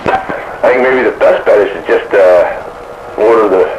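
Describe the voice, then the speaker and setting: A man's voice: lowish voice, unhurried. A man chatting casually about technical matters as a radio operator, rambling along in a folksy way over a two-way radio link. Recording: dull noisy radio transmission, boxy echo.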